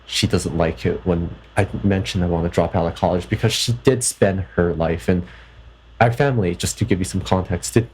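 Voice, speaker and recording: A man's voice: sits low, normal speed, darkish tone, husky, rough recording. A young man from Canada in a personal vlog, reflecting thoughtfully and hesitantly, often restarting his sentences. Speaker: vlogger.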